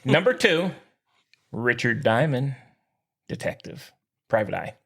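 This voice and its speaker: A man's voice: medium pitch, lively intonation, slow, vocal fry, a bit bright, breathy edge. A man co-hosting a casual podcast, chatting in an enthusiastic, jokey manner. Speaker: podcast co-host. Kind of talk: casual sports and pop culture chat.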